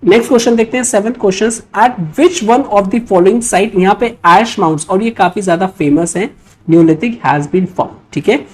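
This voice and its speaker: Mellow-voiced Indian woman teaching. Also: mid-range voice, a little slow, poor audio. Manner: instructional, often checking in with short tags. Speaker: history teacher for exam preparation. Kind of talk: explanatory lecture working through quiz questions.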